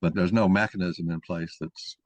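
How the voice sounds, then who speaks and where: Low-voiced man explaining, dry close sound. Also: steady tone, normal speed, no room sound. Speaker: moderator and main speaker of a mock-trial discussion. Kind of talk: informal explanatory discussion.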